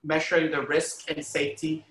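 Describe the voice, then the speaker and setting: A man's voice: a little high, flat delivery, moderate pace, a bit bright, echoey room, rough recording. A man from Honduras talking earnestly and emphatically in a conversational interview, telling personal stories and often saying "like" and "you know". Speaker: arts critic and educator, podcast guest.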